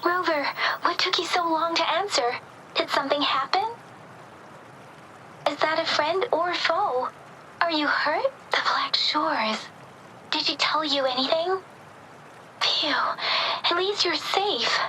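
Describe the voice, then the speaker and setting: A woman playing a caring young companion in a video game, soft-spoken and earnest. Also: high-pitched, slow, long pauses, full character voice, rough recording. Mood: concerned. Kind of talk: scripted video game acting. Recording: clear background ambient noise.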